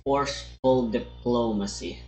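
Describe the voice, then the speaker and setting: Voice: mid-range voice, a little slow, a little mellow, a little hiss or hum. A streamer giving casual gameplay commentary with wry, offhand remarks. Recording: echoey room. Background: game audio.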